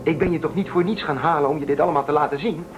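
A man's voice: on the high side, steady tone, very fast, background noise, natural voice. A man playing a defiant, suspicious spaceship crewman in a radio play, urging and persuading. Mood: insistent. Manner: urgent, persuasive, suspicious of authority.

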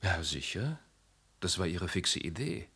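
A smooth insurance agent who explains things with patient, faintly mocking precision. His voice sits low, with a husky breath and a bright edge. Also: very animated, unhurried, exaggerated voice. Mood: explaining. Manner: precise, wry, slightly condescending. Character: polished, ironic male insurance agent. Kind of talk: radio play acting.

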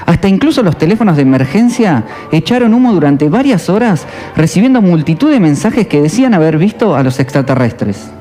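A man narrating a short radio documentary in a measured, reflective way. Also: sits high, big pitch swings, music in the background.

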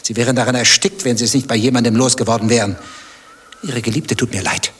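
A man's voice: medium pitch, steady tone, quick pace, a bit bright, slightly rough. An actor playing a nosy, stubborn male stranger in a satirical German radio play, dry, probing and provocative. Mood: mocking.

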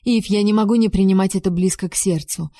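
A fiery young heroine with a warm, mellow voice, as a woman reader raises her pitch for her. Her words land with heat and emphasis. The sound is dry and close. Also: steady tone, fast talker, no echo, lightly acted. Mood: indignant. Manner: heated, emphatic accusations.

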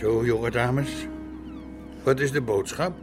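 An actor playing a frail, pious, kindly old village man in a radio drama, speaking in a slow, solemn, reflective way. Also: mid-range voice, fairly even intonation, loud music behind the voice, natural voice. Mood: courteous, inquiring. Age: older. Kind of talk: Dutch radio drama acting.

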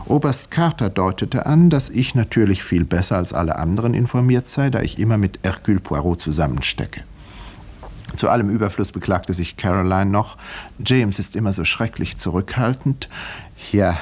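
An actor in a 1950s German radio play playing a sober, reserved village doctor, with measured, dry, understated delivery. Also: male voice, low voice, darkish tone, husky, exaggerated voice. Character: sober, reserved male village doctor who narrates dryly.

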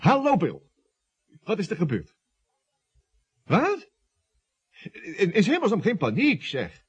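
A man acting in a Dutch radio drama as a glib, excitable, persuasive estate agent who schemes and coaxes. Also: high-pitched, takes it slowly, pauses often, husky, heavily acted. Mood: excited scheming. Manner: glib, coaxing, excitable with hesitations. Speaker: radio play actor. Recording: muffled old radio play recording.

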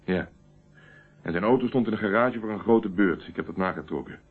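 An actor playing a calm, blunt yet courteous private investigator in a Dutch radio drama, speaking in a measured, direct way. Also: male voice, lowish voice, husky. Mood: firm, reassuring. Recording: narrow, tinny phone-like old radio recording.

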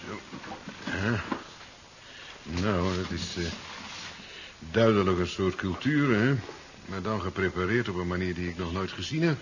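Sardonic scientist, low register, brisk and wry, vintage radio serial sound with dulled top end. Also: male voice. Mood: absorbed. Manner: wry, chuckling, ends remarks with questioning tags. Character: brisk, sardonic male professor in his sea-side laboratory. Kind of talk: 1970s science fiction radio play acting. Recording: dull, noisy 1970s radio recording.

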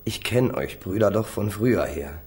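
A man's voice: on the low side, lively intonation, normal speed, darkish tone, natural voice. A man acting a defiant, street-tough young small-time crook in a German radio crime drama, flippant and slangy. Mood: defiant, irritated. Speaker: actor playing a defendant.

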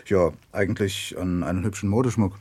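A man's voice: low voice, fairly quick, mellow, breathy edge. A man playing a polite, probing customer who feigns innocence, hesitant in manner, in a German radio crime drama. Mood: polite, casual. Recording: faint noise, old radio drama.

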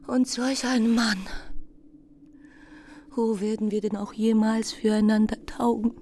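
An actress playing a self-doubting, lovesick young country woman in a radio play, earnest and plaintive, with hushed confiding phrases. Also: on the high side, flat delivery, unhurried, slight background noise. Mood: plaintive, anxious. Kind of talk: literary radio play monologue.

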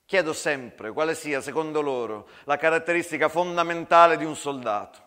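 A man playing a smug army major in a theatrical war story, speaking formally and condescendingly, with measured menace. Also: fairly high pitch, pushed and bright, big-room sound. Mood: controlled menace.

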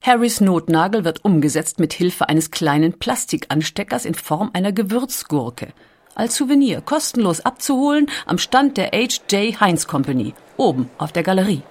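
A woman's voice: mid-range voice, big pitch swings, normal speed, a little mellow, husky. A woman reads scripted documentary narration as the narrator.

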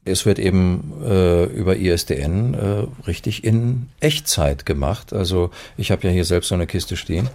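A man's voice: low voice, moderate pace, few pauses, a little mellow. A man who works as a professional announcer, explaining things in interview in his small home studio.

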